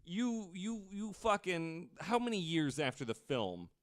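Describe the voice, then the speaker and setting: Expressive, joking man on a podcast. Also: sits high, measured pace. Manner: riffing, exclaiming, jokey. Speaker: podcast co-host. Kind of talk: casual comedic banter.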